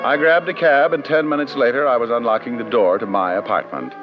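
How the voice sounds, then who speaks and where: A man's voice: medium pitch, brisk, close to an everyday voice. An American man playing a cocky, sardonic private detective in an old-time radio drama, narrating his case with dry, flippant wit. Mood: wry narration. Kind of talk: 1940s-50s radio detective acting and narration. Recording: muffled vintage radio broadcast with music.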